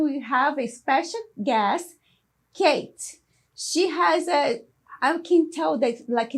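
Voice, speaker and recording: A woman with a Brazilian accent hosting an interview in a studio, talking haltingly and searching for her words. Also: high-pitched. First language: Portuguese. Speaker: host.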